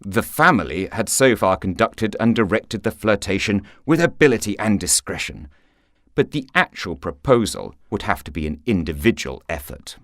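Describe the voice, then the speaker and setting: A man narrating a short story for radio in a theatrical, expressive manner. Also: fairly low pitch, moderate pace, no echo, clean studio sound. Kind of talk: scripted literary narration for radio.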